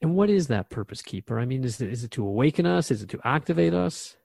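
A man's voice: medium pitch, expressive, quick pace, creaky, darkish tone, no room sound, studio quality. A man playing a thoughtful, curious radio host in a radio drama, questioning a spirit guide in a reflective, conversational way.

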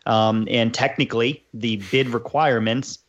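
Brief: male podcast co-host, mid-low voice, thoughtful and hedging, slightly dull lo-fi audio. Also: fairly even intonation, normal speed. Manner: thoughtful, hedging with many "you know"s. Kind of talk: casual discussion.

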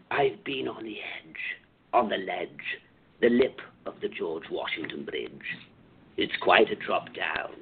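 A man acting in a radio play as a sly, sinister older recruiter for a secret club, with a gravelly voice and theatrical, insinuating delivery. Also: fairly low pitch, a little hiss or hum. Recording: tinny, phone-like narrow-band audio.

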